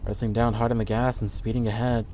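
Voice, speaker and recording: A man's voice: medium pitch, flat delivery, fast, darkish tone, a little hiss or hum. A man reading a scripted horror story as the narrator of an audio play. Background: background music.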